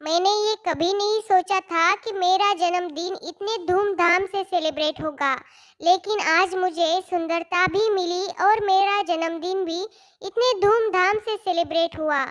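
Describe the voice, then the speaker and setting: A woman voicing a humble, kind-hearted girl in a storybook cartoon, with a soft, earnest manner. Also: very high-pitched, unhurried. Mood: grateful.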